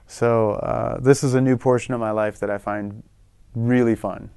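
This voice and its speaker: Man, middle-aged, relaxed rambling talk, smooth resonant voice, dry close sound. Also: mid-range voice, moderate pace, no echo. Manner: relaxed, rambling, self-correcting. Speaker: guest in a language lesson show. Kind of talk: casual interview chat.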